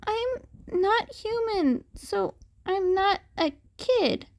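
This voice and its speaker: A woman voicing a small, timid cat-boy in a podfic story, with a quiet, hesitant, pleading voice. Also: extremely high pitch, expressive, extremely slow, pitched above the performer's own voice, heavily acted. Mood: wary, subdued. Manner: hesitant, pleading, breaking off mid-sentence. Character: frightened small cat-boy, timid, wary, cheekily cute.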